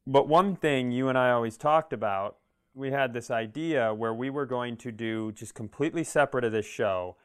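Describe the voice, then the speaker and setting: A man with a clear, smooth, medium-pitched voice co-hosts a comedy podcast. He riffs casually, rambling through jokes and poking fun at himself. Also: fairly bright tone. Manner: joking, rambling, self-deprecating. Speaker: podcast co-host. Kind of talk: casual comedic chat, riffing.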